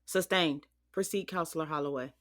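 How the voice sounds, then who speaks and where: An actress playing a firm, authoritative judge keeping order in a courtroom audio drama. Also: female voice, low-pitched, brisk, fairly bright tone, dry recording, clean studio sound.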